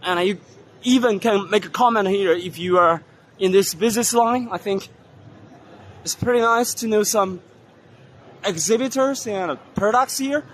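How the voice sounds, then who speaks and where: A hoarse man walking through an indoor trade fair hall, giving casual commentary on the stands with many fillers and pauses. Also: high-pitched, a bit bright, a little hiss or hum. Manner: unhurried, halting, many fillers. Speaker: vlogger touring a trade fair.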